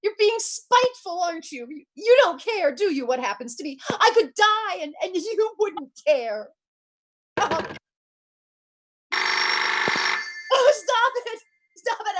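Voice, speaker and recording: A woman's voice: very high-pitched, fairly bright tone, full character voice. A woman playing an anxious, demanding, self-pitying invalid wife in old-time radio suspense style, stammering nervously and repeating herself. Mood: hysterical, desperate.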